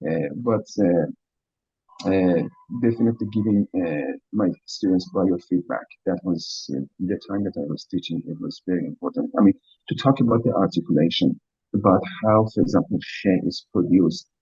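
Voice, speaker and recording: A man's voice: deep voice, normal speed, warm tone, breathy edge, dry recording. A man with a Persian accent answering questions after a lecture, hesitant and full of "uh" fillers. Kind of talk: academic Q&A answer. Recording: dull lo-fi lecture audio.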